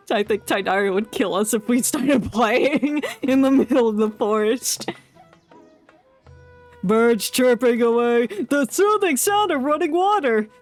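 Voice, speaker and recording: A woman's voice: a little high, takes it slowly, pauses often. A woman livestreaming a game with casual, jokey commentary, giggly and mock-dramatic. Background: game music.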